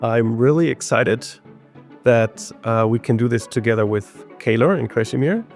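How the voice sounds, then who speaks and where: A man's voice: medium pitch, normal speed, a little mellow, faint music behind. A man hosting an online webinar and introducing himself and his company, speaking with frequent ums and uhs. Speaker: webinar host, company co-founder. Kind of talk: hesitant presentation with many fillers.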